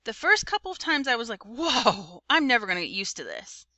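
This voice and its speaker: A woman's voice: a little high, very animated, a little fast, pushed and bright, no room sound. A woman voicing a good-natured fifth-grade boy who narrates a storybook in a casual, conversational way. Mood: frank, casual. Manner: casual, conversational, youthful slang.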